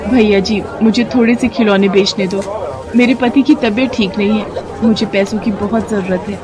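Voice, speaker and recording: A woman voicing a devoted, poor wife selling toys in a cartoon, speaking softly and pleadingly. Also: mid-range voice, fairly even intonation, normal speed, a crowd in the background, close to an everyday voice. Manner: soft, pleading.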